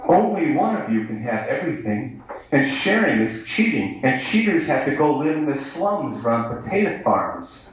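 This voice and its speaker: A man's voice: mid-range voice, big pitch swings, moderate pace, slight background noise. An American man in his thirties reads poetry live in a sardonic, declamatory manner. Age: 30s. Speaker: poet. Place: indoor bar venue, live reading. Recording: echoey live bar recording, distant mic.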